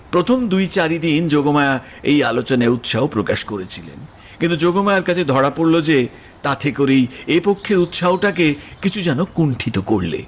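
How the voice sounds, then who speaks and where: A man's voice: on the high side, slight background noise. A man reading literary prose as the narrator of an audio story. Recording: music under the voice. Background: background music.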